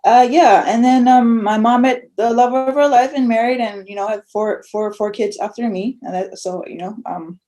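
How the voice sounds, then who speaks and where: A young woman from Tonga speaks as a podcast guest in a soft, heartfelt way, often breaking into laughter. Her voice sounds mellow and warm. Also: on the high side, moderate pace, hardly any pauses. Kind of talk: casual interview.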